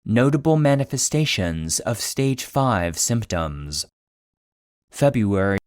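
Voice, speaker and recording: Male podcast narrator: unhurried, serious, clinical delivery of scripted documents, dry studio sound. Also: on the low side, sing-song, clean tone, no echo. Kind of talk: scripted narration of fictional clinical case file.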